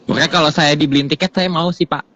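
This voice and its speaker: A man chatting on a live stream, playful and teasing. His voice is bright and a little higher than usual for a man. The sound is dull and lo-fi. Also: steady tone, fast, slight background noise. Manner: chatty, teasing, short replies. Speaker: co-host. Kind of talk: casual live-stream chat.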